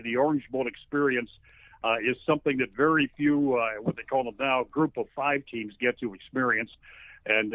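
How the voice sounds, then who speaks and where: A man's voice: medium pitch, dry recording. A man in his sixties comes through a thin, phone-like connection with a dry, close sound. He is a sports announcer giving an interview, telling stories in a conversational, folksy and wordy way. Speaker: radio play-by-play sports announcer, interview guest. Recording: narrow phone-like line.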